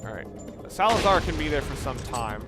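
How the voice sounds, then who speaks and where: A man's voice: medium pitch, very animated, a little fast. A man giving casual, off-the-cuff video game commentary, rambling and often rephrasing himself mid-sentence. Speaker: let's play commentator. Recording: loud game music and gunfire behind. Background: video game music and gunshots.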